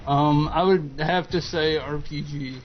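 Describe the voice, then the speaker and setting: A man in his twenties, a guest chatting slowly and hesitantly with frequent ums. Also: on the high side, fairly even intonation. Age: 20s. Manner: slow, hesitant, lots of ums and uhs. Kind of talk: casual chat over gameplay commentary. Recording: dull lo-fi voice-chat audio with faint noise.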